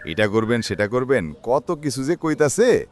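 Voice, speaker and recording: Mocking village sceptic, a man acting, swinging pitch. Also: very animated, unhurried, a little mellow, a little hiss or hum. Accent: rural Bangladeshi Bengali. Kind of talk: cartoon voice acting.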